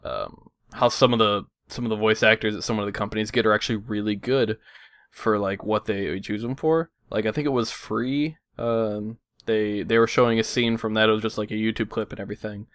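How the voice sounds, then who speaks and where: A man's voice: fairly low pitch, fairly even intonation, brisk, fairly bright tone, smooth, dry recording, clean studio sound. A man hosting a casual anime podcast, rambling with lots of fillers and casual swearing. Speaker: podcast host. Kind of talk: casual chat.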